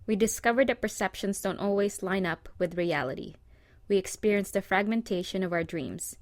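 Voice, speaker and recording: A woman narrating a scripted presentation in a measured, read-aloud manner. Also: mid-range voice, no echo, clean studio sound.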